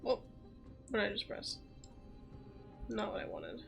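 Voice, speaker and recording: A woman's voice: mid-range voice, huge pitch swings, unhurried, creaky. A woman chatting casually as she livestreams a video game. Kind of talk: casual chat while streaming. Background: faint game music.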